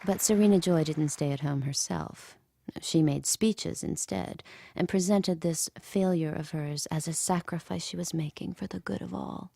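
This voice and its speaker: An actress playing a watchful handmaid, narrating her captive life in a measured, intimate way for an audio drama. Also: lowish voice, creaky, warm tone, slight background noise. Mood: wry recollection.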